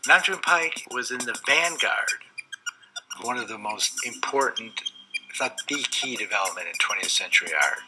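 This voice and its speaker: Man, 60s, documentary interviewee, measured and explanatory, mid-pitched, heavy music bed. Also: expressive, poor audio. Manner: measured, explanatory, gestures while speaking. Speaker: art expert interviewee. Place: cluttered indoor studio. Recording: loud music under the interview.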